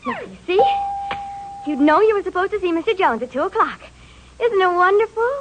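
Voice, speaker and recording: Old-radio secretary: extremely high, girlish and gushing, gullible, heavy noise on the recording. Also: expressive, a little fast, muffled recording. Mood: excited, delighted. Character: perky, gullible, excitable young secretary. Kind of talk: 1940s radio comedy-drama acting.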